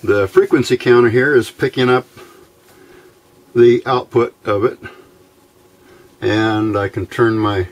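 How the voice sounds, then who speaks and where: A man giving an informal hands-on electronics tutorial in a plain-spoken, halting way, recorded at an indoor workbench. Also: mid-range voice. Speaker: electronics hobbyist demonstrating a build.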